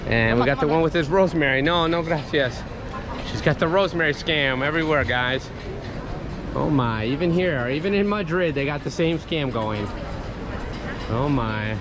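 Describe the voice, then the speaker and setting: A man in a walking travel vlog talks in a fairly high voice and keeps calling his viewers "guys" as he marvels at each building. He is still getting over a cold. Heavy traffic and street noise roar around him outdoors. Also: moderate pace. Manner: chatty, exclaims at sights, keeps saying "guys". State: recovering from a cold. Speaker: travel vlogger. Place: busy city street outdoors. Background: loud traffic and street noise.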